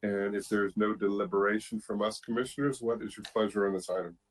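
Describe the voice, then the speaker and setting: A man with a fairly low voice chairs a public board meeting. He handles procedure in an orderly way, with an informal touch as he hands the floor to others. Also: fairly even intonation, quick pace. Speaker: planning board chair presiding over meeting.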